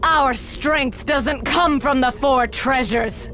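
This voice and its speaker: A woman's voice: high voice, slow. A woman playing a proud, righteous warrior goddess in a fantasy game, solemn, formal and commanding. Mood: defiant, wrathful. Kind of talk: dramatic fantasy game acting. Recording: voice over music.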